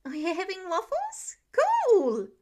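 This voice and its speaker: A woman voicing an excitable, eager young boy with chirpy, childlike rambling in a story reading. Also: very high voice, slow, voice pushed up, heavily acted. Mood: excited, eager. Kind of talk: storybook-style character reading.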